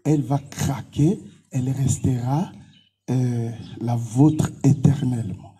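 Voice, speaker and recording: A man's voice: mid-range voice, fairly even intonation, takes it slowly, dark tone, breathy edge. A man with a Congolese accent, a pastor answering interview questions in short, emphatic, repeated declarations.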